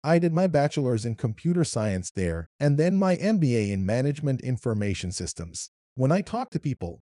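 A man in his fifties from Iran with an Arabic-influenced accent, explaining his career in a measured way as an interview guest. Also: mid-range voice, big pitch swings, dark tone, no echo, studio quality. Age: 50s. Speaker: interviewee, localization leader. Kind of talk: read interview answers.

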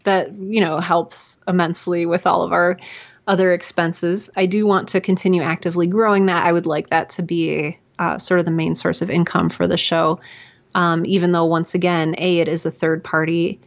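A woman's voice: lowish voice, level tone, moderate pace, highs cut off. A woman podcaster chatting in an interview, talking freely with lots of fillers and restarts. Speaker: podcaster and audio drama producer. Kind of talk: casual interview conversation.

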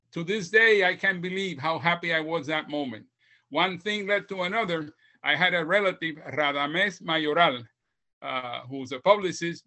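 A man's voice: on the high side, sharp tone. A man in his seventies from Puerto Rico, a podcast guest telling his story in a heartfelt, reflective way. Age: 70s. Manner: heartfelt, reflective, speaks slowly. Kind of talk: reflective storytelling interview answers.

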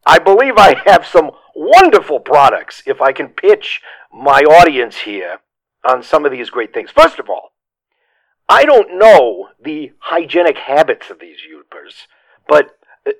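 A man playing a gravelly, blustering caller. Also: sing-song, a little slow, a bit bright, heavily acted, poor audio. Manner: rambling, pitchy, self-assured. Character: blustering male caller, bumbling self-styled entrepreneur. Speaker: comedy caller. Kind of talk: improvised comedy podcast.

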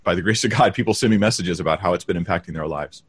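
A man's voice: deep voice, very fast, slightly rough. A man who is a pastor and Bible teacher, explaining his work earnestly as a guest on a video call. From Southern California. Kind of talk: interview guest answering questions.

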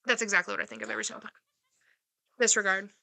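A woman in her twenties co-hosting a casual chat, earnest and reassuring, who speeds up when she gets excited. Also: mid-range voice, rapid-fire, creaky. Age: 20s. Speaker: podcast co-host.

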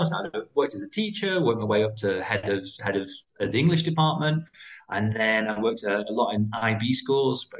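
A British-raised man, likely in his thirties, a school founder talking quickly as a podcast guest, with lively pitch and frequent restarts. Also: rough recording. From United Kingdom. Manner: fast, animated, many restarts. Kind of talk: interview conversation.

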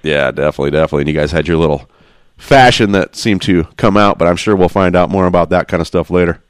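A man hosting a casual podcast interview, relaxed and chatty, laughing often and swearing casually. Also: deep voice, fast, smooth, dry recording. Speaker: podcast host.

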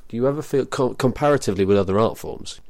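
A man's voice: medium pitch, quick pace, a little mellow, no echo. A young man with a British accent, asking wordy, thoughtful questions as a podcast interviewer. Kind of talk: conversational interview.

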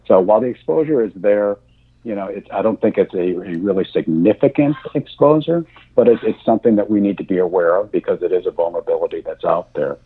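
A deep-voiced man whose first language is German, talking slowly through his answers with a lot of ums and restarts. His voice is husky and comes through a thin, phone-like line. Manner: halting, many fillers and restarts. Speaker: insurance industry guest. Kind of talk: podcast interview answers.

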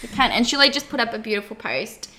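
A woman co-hosting a casual spiritual chat, chiming in with frequent agreement. Her voice is bright and edged, with plenty of gravelly fry at the ends of phrases. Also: fairly high pitch, very animated. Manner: affirming, quick to agree. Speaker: podcast co-host.

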